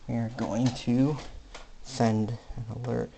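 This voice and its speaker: A man giving a casual hands-on electronics demonstration, speaking haltingly in short piecemeal phrases. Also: mid-range voice, little pitch movement, gravelly, warm tone. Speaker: hobbyist presenter. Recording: faint background noise with clicks.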